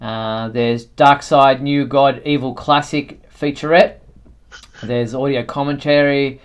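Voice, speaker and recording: A man hosts a casual live news chat for fans. His medium-pitched voice moves haltingly through the items, with plenty of fillers and a conversational feel. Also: steady tone, clear, resonant tone, dull audio. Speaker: show host.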